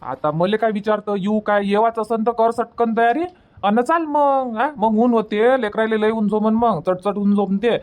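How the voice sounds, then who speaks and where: A man's voice: sits high, normal speed, darkish tone, close to an everyday voice. A man playing a placating, argumentative village husband in a cartoon comedy, in colloquial Varhadi Marathi, repeating himself to make his point. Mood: impatient. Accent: Varhadi Marathi from Vidarbha.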